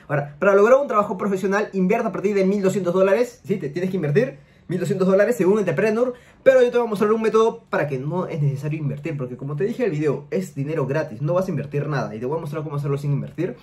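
Brief: young male host, high voice, rapid-fire screen-share explainer at home desk webcam. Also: lively intonation, darkish tone. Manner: rapid explainer, tag questions. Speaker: money-making YouTuber. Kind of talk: screen-share tutorial talk. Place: home room, seated in gaming chair.

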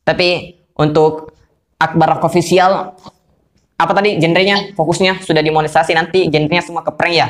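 A young man hosts a casual podcast call from a small room. His voice sits a little high and is slightly hoarse, and he talks in a relaxed, chatty vlogger way. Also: moderate pace. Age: young adult. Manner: laid-back, chatty. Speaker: YouTuber hosting a podcast call. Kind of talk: casual vlog-style phone interview.

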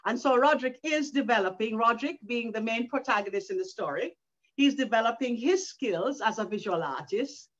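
Older woman, slow earnest talk, higher voice, home video call, muffled lo-fi sound. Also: lively intonation. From Caribbean. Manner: slow, earnest, with frequent restarts. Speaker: author and educator. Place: living room at home, indoors. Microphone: video call webcam. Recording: dull lo-fi call audio.

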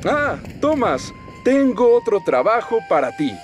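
Very high, bossy film worker. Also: male voice, very animated, a little slow, noisy background, exaggerated voice. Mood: demanding. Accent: Latin American. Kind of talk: animated children's series dub.

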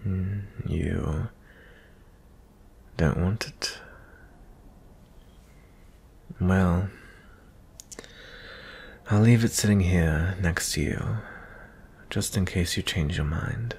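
A man playing a gentle, weary young prince in a fantasy ASMR roleplay, speaking softly and intimately in a breathy, husky voice with frequent pauses. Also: low voice, heavily acted. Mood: gentle concern. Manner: slow, hushed, pausing often.

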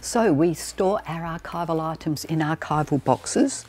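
A woman's voice: low voice, a little slow, a little mellow, faint music behind. A woman, a librarian presenting to camera in a prepared style. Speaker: librarian and exhibition curator presenting. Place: indoors in a large archive storage room among shelving.

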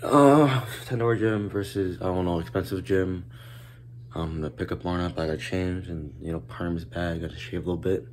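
A man's voice: low voice, brisk, a little hiss or hum. Teen male vlogger, casual, rambling, phone-recorded. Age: teens. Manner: laid-back, rambling with many fillers. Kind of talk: casual vlog narration.

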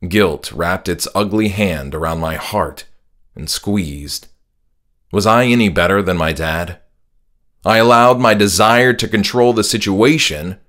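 A man's voice: lowish voice, fairly bright tone. A man reading his own horror story as scripted first-person audiobook narration. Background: faint music.

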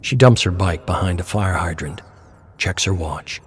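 A man reading game lore as dramatic scripted narration, in a deliberate storytelling manner with dramatic pauses. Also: sits low, a little hiss or hum.

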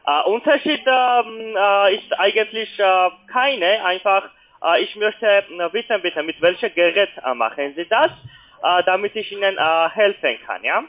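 High-pitched foreign-accented man on muffled phone. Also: unhurried. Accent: foreign accent in German. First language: not German. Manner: hesitant, polite, repeatedly tags sentences with questions. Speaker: call centre sales agent pitching investments. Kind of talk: halting phone sales pitch. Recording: muffled, narrow telephone line.

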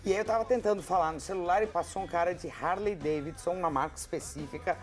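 A woman's voice: lowish voice, fast talker, soft background music. A woman in her fifties with an Italian accent, a journalist guest joking dryly about herself on a TV talk show in a studio. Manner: dry, self-mocking humor. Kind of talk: casual talk-show chat. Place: bright TV studio set. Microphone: lapel mic.

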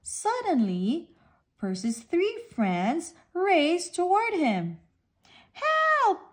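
A woman reading a children's picture book aloud in an expressive, deliberate and emphatic way. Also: high-pitched. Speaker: storybook reader. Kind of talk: animated children's read-aloud narration.

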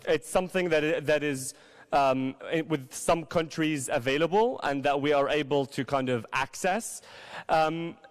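A man's voice: on the high side, a little slow. A man in his thirties from Syria, a legal counsel answering on a conference panel with a handheld mic, hesitant with many restarts and repeated words. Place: large conference hall. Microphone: handheld microphone.

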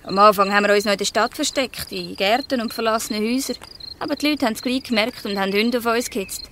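High-pitched, bossy Swiss German gang girl. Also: a bit bright, a little hiss or hum. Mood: matter-of-fact. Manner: brisk, commanding, defiant. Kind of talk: radio play acting in dialect.

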